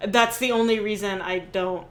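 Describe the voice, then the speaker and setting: A woman's voice: medium pitch, fairly even intonation, normal speed, fairly bright tone. A woman hosting a tabletop game on a livestream, chatting casually in a playful, joking way and laughing readily. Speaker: game master and stream host.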